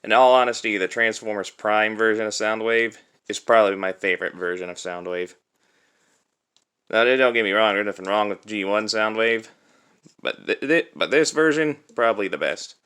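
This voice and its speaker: Bright-voiced man casually reviewing a toy. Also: lowish voice, normal speed, smooth. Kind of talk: casual unscripted product review. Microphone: cell phone used as camera.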